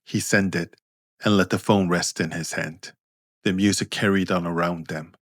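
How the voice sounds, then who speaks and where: Very deep-voiced man narrating an audiobook. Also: steady tone, normal speed, a bit bright, no echo, clean studio sound. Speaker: narrator, author reading own story.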